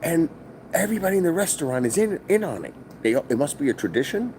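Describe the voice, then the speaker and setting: A middle-aged man from New with a loud voice, telling a rambling, profane story on a casual stream from his hotel. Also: a little high, sing-song, fast talker, slight background noise. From New York. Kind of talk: casual live-stream vlog. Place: hotel, indoors.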